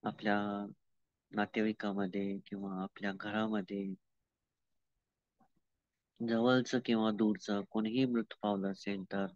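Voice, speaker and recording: A man with an Indian Marathi accent leading a guided meditation as a teacher, calm and unhurried, pausing between instructions. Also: lowish voice, no echo. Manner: calm, unhurried, with long pauses and repeated instructions. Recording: dull lo-fi audio.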